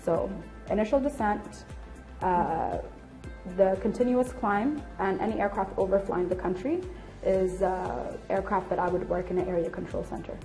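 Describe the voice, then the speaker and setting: A woman's voice: mid-range voice, moderate pace, mellow, faint music behind. A woman in her late twenties giving candid, articulate explanations in an interview. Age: late 20s. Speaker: air traffic control officer and instructor being interviewed.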